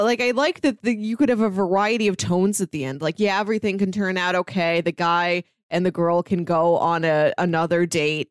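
A woman co-hosting a podcast, chatting casually and retelling a show in an animated way, acting out bits of dialogue. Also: mid-range voice, no room sound, studio quality. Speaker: podcast co-host. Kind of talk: casual chat recapping a TV show.

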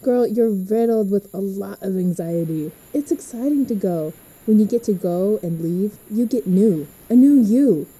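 A young woman playing a reassuring, upbeat teenage girl in an audio drama, with a husky voice. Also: medium pitch, animated, unhurried, few pauses, warm tone, rough recording.

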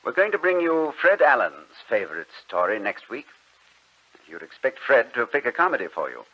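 A man's voice: medium pitch, very animated, moderate pace, breathy edge. A man working as a radio announcer, reading a script in a polished, formal old-time broadcast style. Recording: dull old radio recording.

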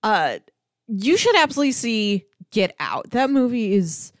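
A woman's voice: medium pitch, sing-song, measured pace, dry recording, studio quality. A woman co-hosting a podcast, chatting casually about films. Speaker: podcast co-host.

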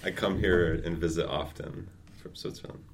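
A man with an extremely deep voice talks casually about his recruiting team. He leans on fillers and drops the odd dry quip. A faint rumble hums underneath. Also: steady tone, normal speed, vocal fry. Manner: loose, filler-heavy, with dry quips. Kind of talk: casual interview chat.

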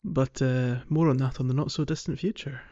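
A man's voice: medium pitch, steady tone, fairly quick, warm tone, dull audio. A man co-hosting a gaming podcast, chatting casually in a rambling, jokey way. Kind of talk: casual chat about a video game.

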